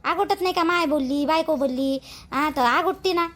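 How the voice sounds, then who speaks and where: A woman's voice: sits high, moderate pace, a bit bright. A woman voicing a gossipy, grumbling daughter-in-law in a village cartoon, speaking rustic Vidarbha Marathi. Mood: complaining. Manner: colloquial, rustic, complaining.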